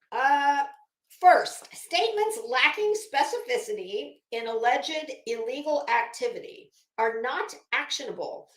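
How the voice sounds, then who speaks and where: Female commentator, fairly high voice, unhurried delivery, dryly mocking and emphatic, casual livestream style with dramatic readouts. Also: reverberant, poor audio. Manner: sardonic, theatrical, emphatic. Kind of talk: casual commentary with dramatic reading of a legal document.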